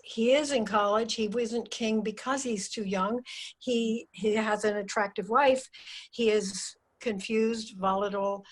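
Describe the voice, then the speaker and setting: A woman giving informal remarks on a video call, haltingly, often repeating and restarting as she makes her point. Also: mid-range voice, slightly rough. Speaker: participant, former teacher.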